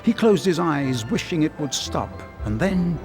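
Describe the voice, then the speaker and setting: A man's voice: fairly high pitch, very animated, measured pace. Man narrating a storybook over music. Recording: music under the voice.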